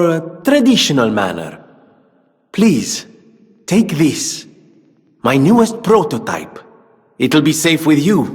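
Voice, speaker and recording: A vain young gentleman inventor for a video game, gracious and excitable. His phrasing is florid and theatrical, delivered at an unhurried pace with very animated intonation. The voice sits a little high and rings with long reverb. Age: 30s. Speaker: game character voice actor.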